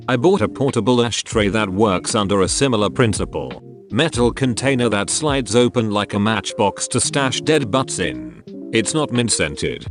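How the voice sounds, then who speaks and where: Low-voiced man reading forum posts. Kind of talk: scripted read-aloud narration. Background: faint background music.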